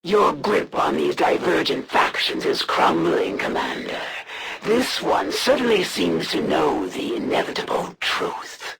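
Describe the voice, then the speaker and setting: A menacing female alien assassin from a video game, her voice thick with gravelly creak. She speaks slowly and formally, taunting with haughty pride, and her pitch sweeps widely as she goes. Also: wildly swooping pitch, fairly bright tone, a little hiss or hum, exaggerated voice. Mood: smug, taunting. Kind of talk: video game villain voice lines.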